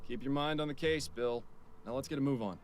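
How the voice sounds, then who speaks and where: A man's voice: mid-range voice, brisk, a little hiss or hum, lightly acted. A man playing a dry, businesslike police detective in a voiced adventure game, asking things politely and to the point. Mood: dry, focused.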